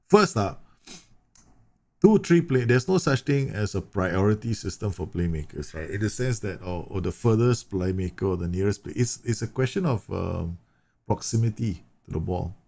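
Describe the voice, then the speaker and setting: A man from Singapore talks through a football management game on a livestream. His voice sits low and carries a gravelly fry. He explains at length, circling back with fillers and self-corrections. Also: darkish tone. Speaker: streamer. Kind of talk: live game commentary answering chat.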